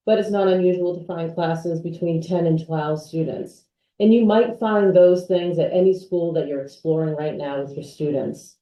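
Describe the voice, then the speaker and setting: A woman's voice: lowish voice, dull audio. A woman who is a school's associate head and dean, giving a polished, upbeat presentation. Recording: echoey room from far off.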